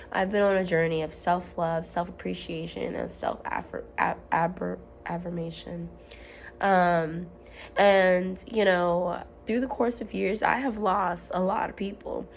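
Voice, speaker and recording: Woman, hoarse recovering voice, lowish pitch, earnest rambling self-help vlog, faint background hum. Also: steady tone, unhurried. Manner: earnest, rambling, with frequent ums and restarts. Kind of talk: candid motivational talk to camera. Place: at home.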